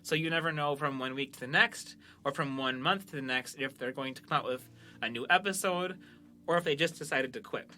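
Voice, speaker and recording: Bright, forceful-voiced man, podcast banter. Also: mid-range voice, brisk, soft background music. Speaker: podcast host and creator of an amateur audio drama. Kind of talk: casual banter, reading out listener reviews.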